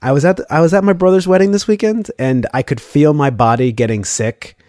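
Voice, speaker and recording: A man co-hosting a casual podcast, stuffy with a cold and slightly gravelly, with a playful, teasing manner. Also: fairly high pitch, fairly quick, a little mellow, no echo, clean studio sound. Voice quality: slightly gravelly, some vocal fry. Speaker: podcast co-host. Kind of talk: casual co-hosted podcast chat.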